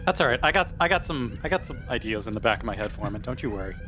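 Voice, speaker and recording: Fast-talking male gamer, noisy recording. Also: medium pitch, lively intonation, bright, forceful tone. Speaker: tabletop game player. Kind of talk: casual game table chat. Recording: clear background noise.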